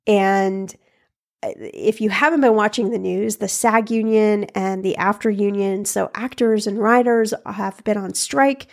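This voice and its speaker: A woman hosting a money podcast, hoarse and froggy from a recent cold, chatting casually and laughing between points. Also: mid-range voice. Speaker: podcast host. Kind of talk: casual solo podcast talk.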